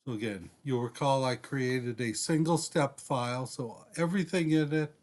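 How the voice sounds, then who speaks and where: A man presenting a step-by-step software demonstration in an unscripted, deliberate way, often pausing mid-sentence. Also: mid-range voice.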